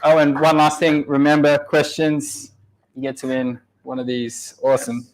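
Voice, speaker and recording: A man's voice: fairly high pitch, flat delivery, moderate pace, noisy background. Upbeat man introducing speakers. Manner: upbeat, enthusiastic. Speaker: meetup host. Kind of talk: event introduction.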